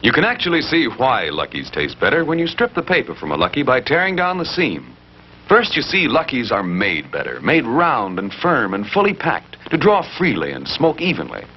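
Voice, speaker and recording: A man's voice: mid-range voice, huge pitch swings, moderate pace. An American man reading a scripted 1950s commercial with emphatic, demonstrative salesmanship. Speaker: commercial announcer. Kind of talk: scripted 1950s cigarette advertisement. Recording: muffled 1950s TV broadcast, faint noise.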